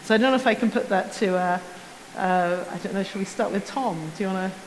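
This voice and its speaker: A woman moderating a conference question-and-answer session, brisk and upbeat. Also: mid-range voice, in a large hall, a little hiss or hum, low-quality recording. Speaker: panel moderator. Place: conference hall.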